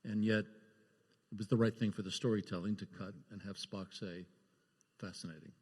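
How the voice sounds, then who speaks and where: Low-voiced man reminiscing in echoey hall. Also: steady tone, moderate pace, darkish tone. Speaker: panel guest, film producer. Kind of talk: casual recollection on a live panel. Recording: echoey hall, live panel sound.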